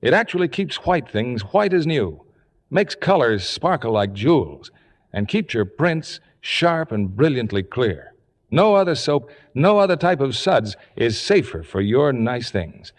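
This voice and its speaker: Animated, echoey male radio announcer. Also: medium pitch, unhurried. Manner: polished, emphatic sales delivery. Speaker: radio show host and announcer. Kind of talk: 1950s radio announcing, scripted ad. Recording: echoey old radio studio stage.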